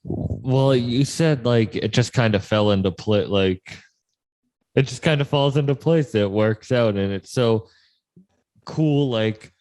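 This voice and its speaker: A man's voice: mid-range voice, slow, darkish tone, rough recording. A man in his twenties hosting a casual interview in a music studio, joking and swearing freely. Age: 20s. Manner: joking, profane, easygoing. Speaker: podcast host, comedian.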